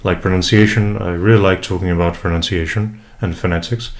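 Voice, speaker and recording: Man, young, from Brazil, Portuguese first language, very low voice, halting and thoughtful, home desk mic. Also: steady tone. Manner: hesitant, many fillers and restarts, reflective. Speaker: English tutor and vlogger. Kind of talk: casual first vlog introduction. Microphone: USB desk mic, unprocessed.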